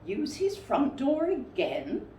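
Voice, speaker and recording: A fond, sensible young boy from a children's storybook, acted out by a woman. He sounds reassuring and gentle, with lively pitch, a little off the mic in a roomy space. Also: takes it slowly, full character voice. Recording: roomy, distant mic with faint noise.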